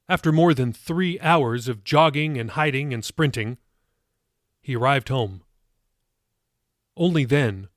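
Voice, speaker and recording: A man's voice: medium pitch, no room sound, clean studio sound. A man reading scripted material for his own podcast, as author and narrator.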